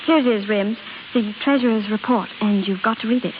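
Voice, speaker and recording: An actress playing an earnest, anxious young wife who is easily hurt, in 1930s radio drama style on an old hissy recording. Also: female voice, mid-range voice, fairly quick, narrow, tinny audio, natural voice. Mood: fond, worried.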